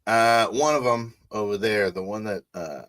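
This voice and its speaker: A man's voice: fairly low pitch, normal speed, fairly bright tone, close to an everyday voice. A man playing an aloof, offhand biker with a casual, hesitant manner in a tabletop roleplay.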